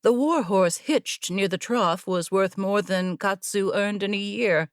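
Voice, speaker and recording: A woman reading scripted fiction as an audiobook narrator, in a measured, deliberate way. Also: mid-range voice, no echo, studio quality.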